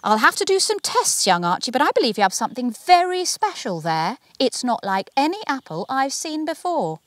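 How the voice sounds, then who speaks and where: A woman voicing an excitable, knowledgeable woman scientist who exclaims and marvels in a children's storybook. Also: high voice, moderate pace, dry recording, clean studio sound, pitched above the performer's own voice. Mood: astonished.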